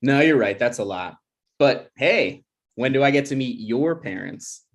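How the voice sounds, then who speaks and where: A man's voice: medium pitch, animated, measured pace. A man playing a sensitive, jokey twenty-six-year-old boyfriend in a screenplay table read, casual and self-deprecating.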